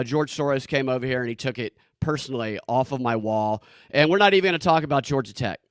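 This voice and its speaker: A man in his thirties talks over a video call in a smooth, clean voice with a dry, close sound. He jokes sarcastically and rambles from one topic to the next in a relaxed conversation. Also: medium pitch, no room sound. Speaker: podcast host, guest here. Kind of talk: casual interview chat.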